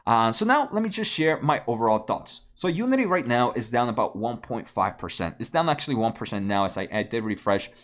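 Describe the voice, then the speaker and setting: A man's voice: mid-range voice, very animated, brisk, hardly any pauses, fairly bright tone. A man giving casual explanatory commentary as a video host, often repeating words and checking in with "right?" at the end of phrases. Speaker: host of a stock analysis video channel.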